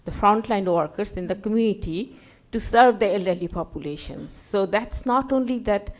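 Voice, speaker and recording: A woman's voice: mid-range voice, moderate pace. A woman from Bangladesh with a Bangladeshi accent, an NGO worker giving an example from the floor of a conference. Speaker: NGO worker, audience speaker.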